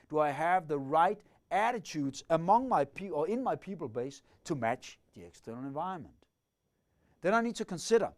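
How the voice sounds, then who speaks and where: A man's voice: on the high side, darkish tone. A middle-aged man lecturing on leadership, methodically working through the ideas and asking himself questions as he goes. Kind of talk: explanatory lecture.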